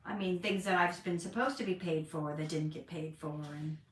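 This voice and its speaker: A woman's voice: on the low side, normal speed, distant mic, slight background noise. An actress chatting candidly in a casual Q&A, laughing easily as she answers questions. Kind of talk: casual livestream Q&A chat. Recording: roomy echo, voice from off-mic.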